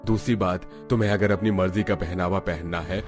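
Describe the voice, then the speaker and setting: A man voicing a traditional older father-in-law in a cartoon, measured and gently admonishing. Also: sits low, steady tone, natural voice. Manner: measured, paternal. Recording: music under the voice.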